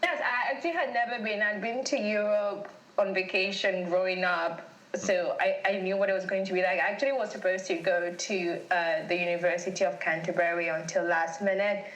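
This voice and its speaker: A woman's voice: medium pitch, steady tone, brisk, fairly bright tone, reverberant, muffled recording. A young woman from Cameroon whose first language is French, chatting easily as a podcast guest and laughing often. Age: young adult. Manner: chatty, laughs easily, restarts her phrases. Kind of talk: casual interview chat.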